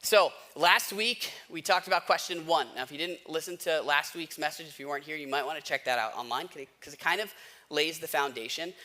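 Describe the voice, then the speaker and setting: American male pastor, fairly high bright forceful voice, conversational yet emphatic preaching. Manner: emphatic, repeats key words and questions with pauses. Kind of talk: conversational sermon.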